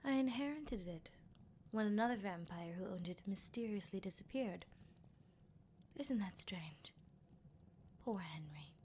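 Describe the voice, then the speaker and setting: Woman acting a breathy, half-whispering female vampire. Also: medium pitch, expressive, mellow, slight background noise, lightly acted. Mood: wry, toying. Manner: teasing, sardonic, unhurried. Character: playful, menacing female vampire captor, decades old. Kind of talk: ASMR roleplay.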